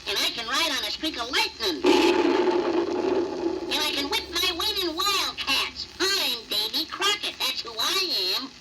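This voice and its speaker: A boastful little cartoon woodpecker with brash, folksy swagger. The voice is high and leaps around animatedly, under heavy surface noise from a 1950s children's 78rpm record. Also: sing-song, unhurried. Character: cocky, boastful cartoon woodpecker, tall-tale fibber. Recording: noisy old 78rpm record.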